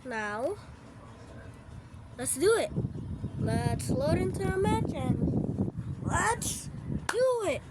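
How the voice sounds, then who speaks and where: A woman's voice: high-pitched, very animated, extremely slow, pauses often. A woman who is sick, casually commentating a mobile game in a loose, rambling way with lots of "like" and restarts, a TV playing behind her. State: sick, voice sounds off. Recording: loud background noise from home. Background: TV playing in the background.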